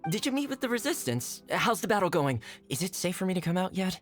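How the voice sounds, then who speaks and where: An actor playing a friendly, easygoing young man in hiding, chatty and relaxed in a game cutscene. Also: high voice, fast, soft background music.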